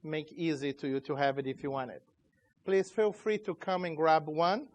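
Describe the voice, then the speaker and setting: Man in his 40s, a bit high-pitched, informal conference presenter. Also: fairly even intonation, darkish tone. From Chile, raised in Brazil. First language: Portuguese. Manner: informal, halting, loose grammar. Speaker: conference speaker, company CEO. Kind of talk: tech conference talk. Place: conference room.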